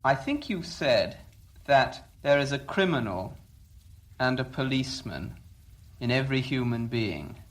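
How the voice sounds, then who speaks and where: Mid-pitched male interviewer, formal questioning. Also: very animated, unhurried.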